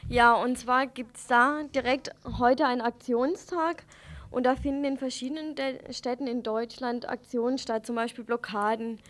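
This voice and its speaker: A woman's voice: on the high side, steady tone, normal speed. A woman presenting topics as co-host of an informal radio news programme.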